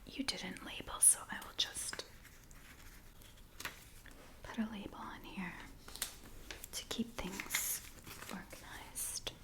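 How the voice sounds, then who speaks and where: Female ASMR roleplayer as a biographer: whispered, slow delivery, gentle and attentive, one-to-one with the listener. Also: medium pitch, fairly even intonation. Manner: gentle, attentive, unhurried, speaking directly to the listener as a client.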